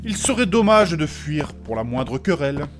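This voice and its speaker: A man's voice: a little high, big pitch swings, moderate pace, soft background music. A man playing a formal, courteous, earnest and moralizing companion in a comedic fantasy audio drama.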